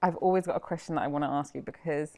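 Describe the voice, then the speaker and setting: A woman's voice: low voice, rapid-fire, warm tone. A woman hosting an interview, chatty and thinking aloud with plenty of "like" and "I think". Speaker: host. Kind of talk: casual interview chat.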